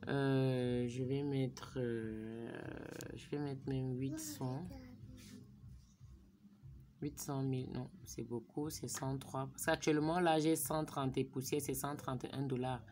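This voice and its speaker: A woman's voice: a bass voice, fairly even intonation, takes it slowly, darkish tone, a little hiss or hum. A woman narrating a phone screen recording as a tutorial, thinking aloud as she types in numbers and sometimes dropping to a murmur. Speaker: vlogger.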